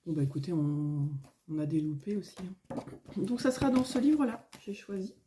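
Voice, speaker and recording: A middle-aged woman, a little hoarse, chatting casually at home in a vlog, rambling with many fillers and restarts. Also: fairly low pitch, lively intonation, moderate pace, warm tone, a little hiss or hum. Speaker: coloring vlogger. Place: at home, indoors.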